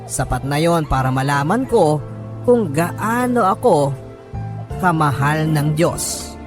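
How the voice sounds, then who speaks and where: A man's voice: on the high side, animated, slow. A man with a Filipino accent reading a listener's letter as a radio host, in dramatic first-person narration. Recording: music bed under narration.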